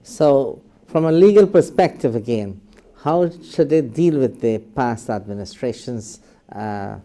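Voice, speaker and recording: Man, TV interview host, mellow tone, medium pitch, formal broadcast style, measured phrases with pauses, studio. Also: lively intonation.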